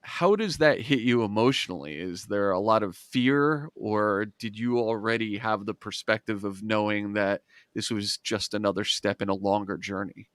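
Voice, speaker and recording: A man's voice: fairly low pitch, normal speed, a bit bright, no room sound. Man hosting an interview, dry sound. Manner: friendly, reads out listener questions. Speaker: interview host. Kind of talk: casual interview.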